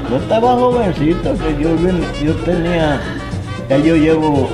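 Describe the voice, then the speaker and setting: Older Puerto Rican man, interviewee, fairly high voice, stop-start storytelling. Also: loud music behind the voice. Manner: halting, repetitive, conversational storytelling. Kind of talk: oral history recollection.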